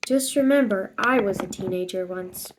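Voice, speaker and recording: A woman voicing an easygoing, watchful father in a storybook, matter-of-fact and gently teasing. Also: medium pitch, normal speed, noisy background, voice pushed down, close to an everyday voice.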